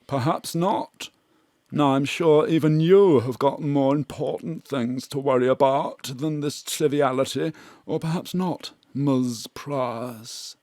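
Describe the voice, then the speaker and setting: An actor playing a pompous, condescending cat therapist with a patronising manner in a comic audio drama. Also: male voice, medium pitch, slow pace, darkish tone, no echo, studio quality, lightly acted.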